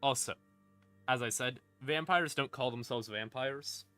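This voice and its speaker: A man's voice: mid-range voice, measured pace, bright, forceful tone, smooth. A man casually explaining lore as a streamer, irreverent and sweary, with wry asides. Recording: eerie ambient music behind voice. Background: creepy ambient music.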